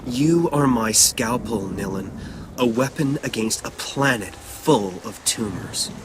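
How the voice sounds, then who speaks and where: A man's voice: mid-range voice, animated, takes it slowly, background noise. An actor playing a driven male rebel leader in a video game, giving orders in dramatic, cryptic, poetic phrases. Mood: solemn, persuasive. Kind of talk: video game voice acting, mission briefing.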